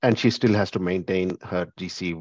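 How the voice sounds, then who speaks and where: A man's voice: low voice, fairly even intonation, normal speed, a little mellow. A man calling in with questions for an adviser, explaining his situation in a hesitant, spontaneous way and rephrasing as he goes. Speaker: caller seeking immigration advice. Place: phone conference call. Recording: muffled lo-fi conference call.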